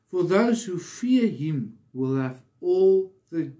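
Slow, mellow male preacher. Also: high voice, very animated. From South Africa. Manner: earnest, deliberate, pausing between short phrases. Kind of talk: devotional sermon.

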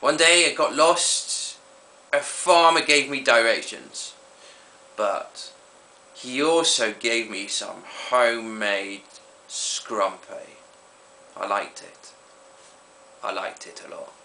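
A man playing a wretched man ruined by drink, giving a halting, rueful confession in a mock documentary interview. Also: mid-range voice, pushed and bright, far from the mic, slight background noise, rough recording. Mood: wistful, rueful.

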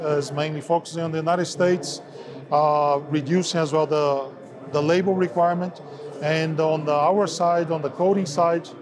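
A man in his forties speaking haltingly with many fillers, interviewed at a trade fair on a lapel mic. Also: on the high side. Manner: halting, many filler sounds, slightly non-native phrasing. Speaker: sales head of an ink and coatings firm. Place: busy trade fair hall, indoors. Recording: crowd noise of a trade fair. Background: crowd chatter.

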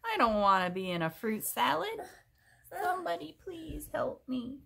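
A woman voicing a melodramatic young watermelon-loving crocodile from a picture book, with exclamatory, drawn-out delivery. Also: sits high, sing-song, vocal fry, a bit bright, breathy edge, pitched above the performer's own voice, full character voice. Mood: panicked. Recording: noisy home recording.

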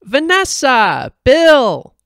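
A man voicing an excitable middle-aged cartoon mother, loud and bossy, with exuberant drawn-out singsong exclamations. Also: extremely high pitch, creaky, clear, resonant tone, no room sound, clean studio sound, voice pushed up, exaggerated voice. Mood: excited, cheery.

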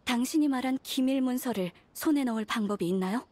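An actress voices a young woman negotiating in secret in a video game. She sounds polite, earnest and cautious, with a fairly high voice recorded dry. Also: quick pace, darkish tone, dry recording, natural voice. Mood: cautious, inquiring. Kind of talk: game cutscene dialogue.

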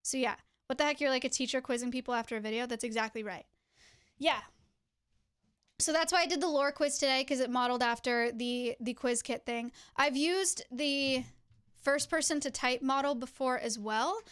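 A woman's voice: high-pitched, normal speed, a bit bright, clear, resonant tone. A young woman streamer chatting to her viewers, giving tips in a teacherly, chatty way. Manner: chatty, teacherly, addresses chat directly. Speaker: streamer host.

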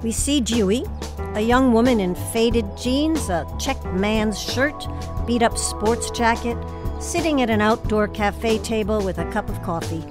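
A woman's voice: a little high, expressive, a little slow. A woman reading scripted narration as the announcer of an audio play. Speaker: announcer and stage-direction narrator. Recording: music behind the voice.

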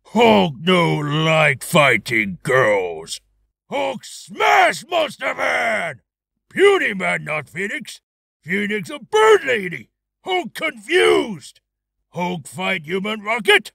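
A man voicing a brutish, childlike, raging giant who shouts in broken, simple speech, as in a video game. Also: high-pitched, huge pitch swings, slow, vocal fry, dry recording, pitched above the performer's own voice, exaggerated voice. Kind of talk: video game character voice reel.

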